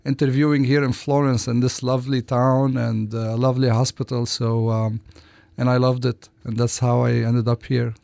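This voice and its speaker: Male doctor from Lebanon, studio interview guest, hesitant phrasing with false starts, mid-range pitch, dry close sound. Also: level tone, moderate pace, gravelly, darkish tone, no room sound. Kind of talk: interview answers. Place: radio studio.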